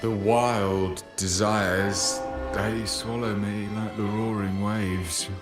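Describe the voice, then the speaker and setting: An actor playing a despairing, lovesick drunken poet in a video game, declaiming theatrically. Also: male voice, fairly low pitch, fairly even intonation, slow. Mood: anguished longing. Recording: roomy sound with music behind. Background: guitar music.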